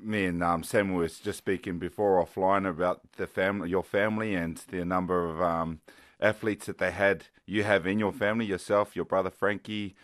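A man's voice: sits low, fairly even intonation, moderate pace, no echo, studio quality. A man co-hosting an interview in a casual, chatty style. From Western Sydney, Australia. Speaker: co-host interviewer.

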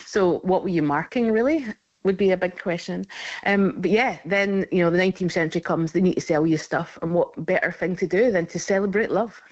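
A woman's voice: on the low side, fairly quick, a little mellow, highs cut off. A woman in her forties, a historian, chatting on the radio with lots of fillers and laughter. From Scotland. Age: 40s. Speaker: historian guest. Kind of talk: radio interview.

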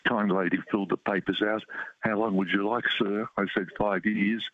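A man's voice: fairly low pitch, fairly even intonation, moderate pace, no echo. A man in his eighties calling a radio show, telling a story in a jovial way. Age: 80s. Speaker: caller. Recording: muffled phone line.

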